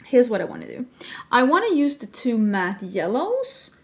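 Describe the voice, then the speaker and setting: A young woman talks casually through a makeup tutorial in a fairly high voice. She chats as she works and pokes fun at herself colours her English. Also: huge pitch swings, normal speed, a little mellow. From Sweden. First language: Swedish. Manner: chatty, self-deprecating, talks while working. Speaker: beauty vlogger.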